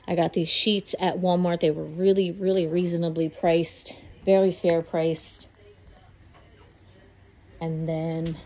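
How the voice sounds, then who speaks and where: A woman's voice: lowish voice, mellow. A woman getting over a cold, sounding a little congested, chatting casually as she films a home tour and says where she bought each item. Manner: chatty, listing where items were bought. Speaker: home vlogger. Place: house interior, walking room to room. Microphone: handheld phone camera.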